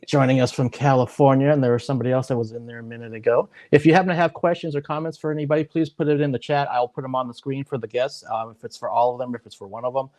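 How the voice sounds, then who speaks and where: A man hosting a live-streamed video-call interview, chatty and relaxed, with many fillers and restarts. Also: mid-range voice, very fast, few pauses, dry recording. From United States. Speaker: interview host.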